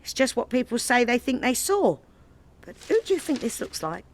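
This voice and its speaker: An actress playing a sarcastic, nagging wife with a London accent in a comedy radio play. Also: female voice, fairly high pitch, sing-song, moderate pace, slight background noise. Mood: mocking.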